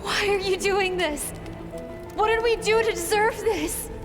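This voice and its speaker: A woman's voice: very high voice, measured pace. A woman playing a protective, earnest village mother in a dubbed anime game, speaking emphatically. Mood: anguished. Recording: long reverb, music behind.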